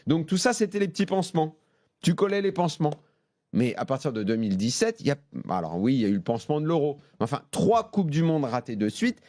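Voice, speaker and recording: A man's voice: fairly high pitch, big pitch swings, fast, darkish tone, no echo. Emphatic man arguing in a dry studio. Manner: emphatic, restarts often mid-sentence. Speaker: football pundit. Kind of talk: heated studio debate. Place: radio and TV studio.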